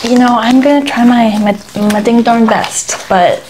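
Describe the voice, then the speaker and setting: Female airport agent, played by a woman for ASMR role-play: playful, pesky, slightly high voice, folksy and unrushed, over clear background noise. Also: lightly acted. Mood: officious, playful. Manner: folksy, teasing, deliberately unhurried. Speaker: ASMR performer.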